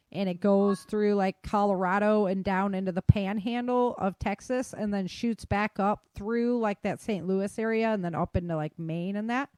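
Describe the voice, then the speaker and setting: A woman's voice: mid-range voice, a little mellow, dry recording. An American woman hosting a podcast in an informal, chatty, self-deprecating way, talking through the news unscripted. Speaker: podcast host. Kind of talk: casual unscripted chat about news.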